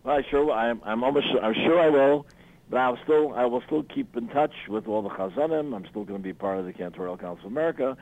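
An older man, a retiring cantor, answering a radio interview in a halting way, often repeating words. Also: mid-range voice, darkish tone, lo-fi sound.

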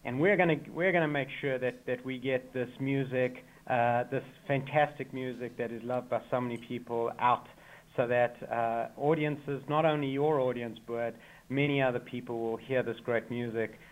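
A man's voice: mid-range voice, steady tone, normal speed, dull audio. A man with a South African accent, a choir member being interviewed on radio, speaking with eager, effusive enthusiasm.

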